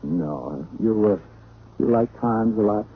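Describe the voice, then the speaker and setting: An actor playing a charming, wisecracking hardboiled detective with an American accent, acting and narrating in an old radio drama. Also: male voice, fairly low pitch, expressive, slow, husky, narrow, tinny audio, exaggerated voice. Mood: flirtatious wheedling. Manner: glib, breezy wisecracks. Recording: thin, noisy vintage radio recording.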